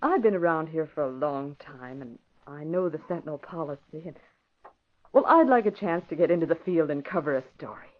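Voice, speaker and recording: A woman's voice: low-pitched, lively intonation, a little fast. An actress playing a wry, wistful woman office secretary with ambitions, knowing in manner, in 1940s radio drama style. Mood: pleading, hopeful. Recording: muffled vintage radio broadcast.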